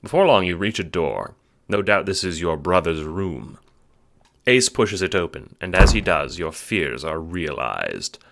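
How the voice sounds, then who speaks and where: Low-voiced man narrating with lively inflection. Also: a little slow, vocal fry. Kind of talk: scripted second-person audiobook narration.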